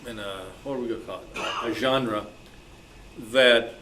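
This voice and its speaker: A man with a mid-pitched voice moderates an informal panel at a club meeting. He speaks haltingly and deliberately, feeling his way through each question. There is light noise in the recording. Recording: noisy live meeting room recording.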